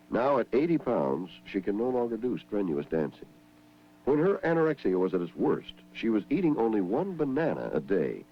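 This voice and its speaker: A man giving grave, emphatic documentary narration. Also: lowish voice. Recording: dull old film soundtrack with faint hum.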